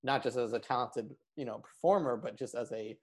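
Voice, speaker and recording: Gravelly-voiced interviewer, mid pitch. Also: fairly quick. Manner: warmly praising, many fillers. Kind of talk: casual interview chat.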